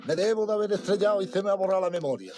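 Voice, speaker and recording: Pompous male space ranger toy, formal, dramatic delivery, lively pitch, film music behind. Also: racing. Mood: alert. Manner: formal, self-important, dramatic. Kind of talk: Spanish film dub. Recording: film clip with score underneath.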